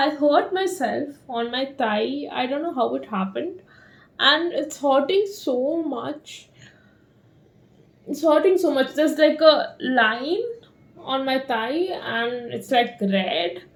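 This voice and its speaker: A woman in her thirties talks slowly to camera at home. Her voice sits a little high, and she rambles in a relaxed, meandering way, with lots of fillers and soft laughs. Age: 30s. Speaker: vlogger. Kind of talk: casual chat to camera.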